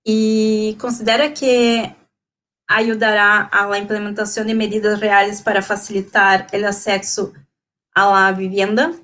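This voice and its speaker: A woman's voice: medium pitch, flat delivery, unhurried, a bit bright. A young woman with a Portuguese accent and Portuguese as her first language, asking interview questions hesitantly with many fillers.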